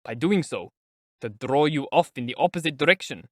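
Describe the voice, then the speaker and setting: A man's voice: medium pitch, animated, brisk, no echo, studio quality, close to an everyday voice. A man playing a sly, sardonic investigator. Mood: probing. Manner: chatty, teasing, politely mocking. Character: middle-aged male investigator, sly, sardonic and jovial. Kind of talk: audiobook character voice.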